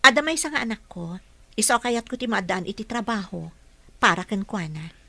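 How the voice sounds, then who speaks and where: An actress playing a gentle, earnest young single mother looking for work in an audio drama, speaking politely. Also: mid-range voice, moderate pace, dry recording.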